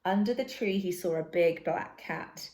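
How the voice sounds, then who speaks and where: A woman reading a children's story aloud as narrator, in an expressive and deliberate manner. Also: on the low side. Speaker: storybook reader.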